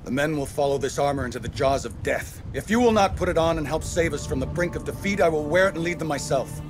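A man's voice: a little high, expressive, fast talker, music in the background, lightly acted. An actor playing a bold, passionate young male Greek warrior in a game, earnest and defiant. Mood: defiant, determined.

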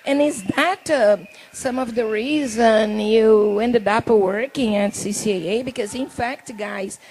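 A middle-aged Brazilian woman, hosting a talk show in English with a handheld mic, halting and reading from notes. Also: a little high, a little mellow, a little hiss or hum, poor audio. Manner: halting, reads from notes, laughs often. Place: indoor talk show stage.

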